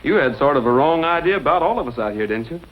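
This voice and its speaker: Male actor as kindly western cowboy, lively intonation, old muffled film sound. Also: on the high side, quick pace, lightly acted. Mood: friendly, reassuring. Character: easygoing friendly cowboy, kind and reassuring. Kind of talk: 1940s western film acting. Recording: dull old film soundtrack, faint noise.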